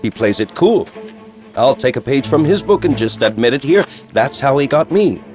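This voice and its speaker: A man's voice: mid-range voice, animated, normal speed, a little mellow, faint music behind, close to an everyday voice. A wry corporate executive, played by a man, conversational and grudgingly admiring. His middling voice moves up and down freely, in audio-drama interview style. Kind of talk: casual interview soundbite.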